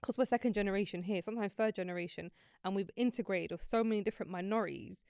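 A young woman hosting a podcast, chatting casually and telling stories in a lively, slangy way from a studio. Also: mid-range voice, clear, resonant tone, no echo. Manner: fast, animated storytelling with slang. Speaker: podcast host. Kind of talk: casual chat. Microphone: studio mic on a boom arm.

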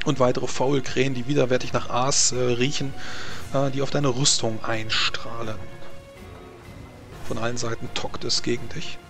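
Male game master, mid-pitched, improvising a fantasy tabletop session over clear background music. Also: flat delivery, normal speed. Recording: music playing under the voice.

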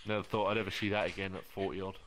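A man's voice: low-pitched, flat delivery, racing, very noisy. A man in his forties grumbling and swearing while playing a game with friends on voice chat. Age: 40s. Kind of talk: casual gaming chat.

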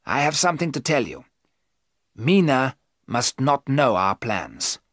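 A man voicing a grave, learned older professor and vampire hunter, speaking emphatically and deliberately in an audiobook story. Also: medium pitch, sing-song, a bit bright, no echo, studio quality, pitched above the performer's own voice.

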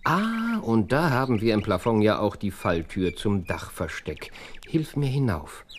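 An actor playing a shrewd, ironic gentleman detective with a wry, mock-polite, musing manner in a German audio drama. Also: lowish voice, very animated, a little slow, a little hiss or hum. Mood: absorbed.

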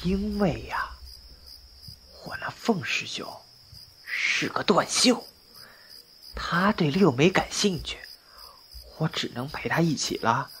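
A woman's voice: low voice, animated, slow pace, long pauses, a bit bright, a little hiss or hum, exaggerated voice. A woman playing a cheeky, boastful young man in a Chinese wuxia audio drama, with playful, teasing delivery.

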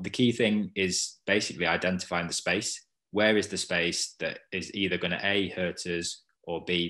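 A man's voice: sits low, fairly bright tone. A man who works as a set-piece analyst, explaining his method fluently with some fillers on a video call. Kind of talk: webinar explanation.

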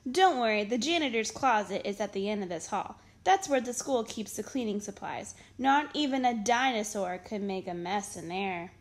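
A woman reading a children's picture book aloud in an expressive, dramatic storytelling manner. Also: mid-range voice, moderate pace, few pauses. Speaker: storytime reader.

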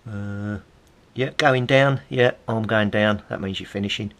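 Gravelly, low-voiced middle-aged English man. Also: unhurried. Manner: chatty, wryly amused asides. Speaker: vlogger, CB radio hobbyist. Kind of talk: casual commentary while leafing through old magazines, reading bits aloud.

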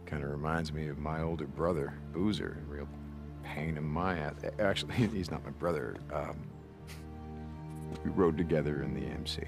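An actor playing a gruff but protective biker drifter in a game, American, speaking in a halting, informal way. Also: male voice, a bass voice, fairly even intonation, music in the background. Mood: awkward, friendly. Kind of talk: video game cutscene acting.

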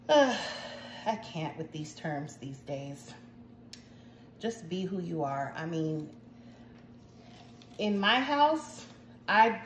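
A woman in her forties with an American accent, hosting a casual baking tutorial in her home kitchen and chatting to viewers with frequent asides. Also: on the low side. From United States, Jamaican household. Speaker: home cooking show host. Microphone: phone camera at arm's length. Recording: echoey kitchen with faint noise.

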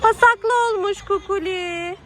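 Woman, playful mum talking to a young child, sing-song and very high-pitched, casual, recorded outside with some ambient noise. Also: steady tone, unhurried. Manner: coaxing, sing-song child-directed speech. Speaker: mother playing with her young child. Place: outdoor playground. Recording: noisy outdoor recording with background ambience.

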